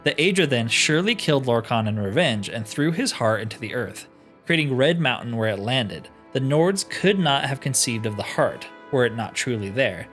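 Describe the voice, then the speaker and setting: A man acts out a wise elder of a fantasy game, solemn and formal. The tale unfolds in measured storytelling, with soft music behind it. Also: medium pitch, lively intonation, lightly acted. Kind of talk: fantasy game roleplay reading.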